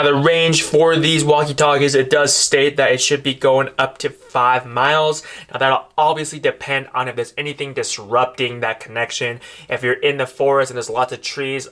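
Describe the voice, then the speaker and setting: Bright-voiced man demonstrating a product. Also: medium pitch. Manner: explanatory, step-by-step, addressing viewers. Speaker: product reviewer. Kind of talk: casual product demonstration to camera.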